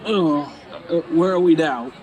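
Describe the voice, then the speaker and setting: Young male apprentice, acted by a man: fairly high voice, earnest, tentative, full of wonder, fantasy audio drama. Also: measured pace, background noise, close to an everyday voice. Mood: awed.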